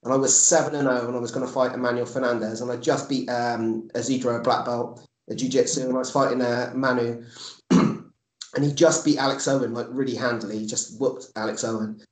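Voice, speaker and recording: An Englishman in his forties chats casually in an echoey room. He rambles and repeats himself, with a blunt turn of phrase, at an ordinary male pitch. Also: steady tone, brisk. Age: 40s. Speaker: podcast guest, MMA coach and fighter. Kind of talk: casual chat.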